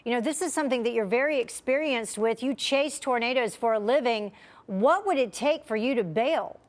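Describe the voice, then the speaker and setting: A woman's voice: on the high side, moderate pace. A woman anchoring live breaking news and putting questions to a guest in a broadcast style.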